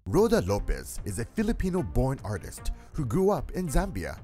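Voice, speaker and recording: A woman reading scripted voice-over narration for a news feature in a measured, announcer-like way. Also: extremely low pitch, wildly swooping pitch, dark tone, soft background music.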